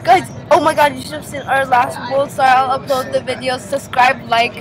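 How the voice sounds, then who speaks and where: A teenage girl vlogging on her phone inside a moving bus, loud, teasing and often laughing. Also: high voice, level tone, moderate pace. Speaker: vlogger filming on a class trip. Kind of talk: goofy casual vlog chat. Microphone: phone camera held at arm's length. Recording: crowded bus with chatter. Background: chatter of other passengers.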